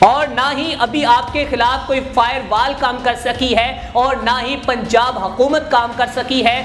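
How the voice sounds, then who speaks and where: A woman with a Pakistani accent gives news commentary in a high-pitched voice. She is indignant and admonishing, putting rhetorical challenges to those she addresses. The recording is echoey, with faint noise behind it. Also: fairly even intonation, a little slow. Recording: roomy echo, faint background noise.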